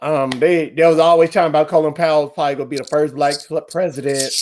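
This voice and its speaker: A man co-hosting a casual podcast, telling animated stories and trash-talking his friends in slangy banter. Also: on the high side, fast talker, a little hiss or hum. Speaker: podcast co-host.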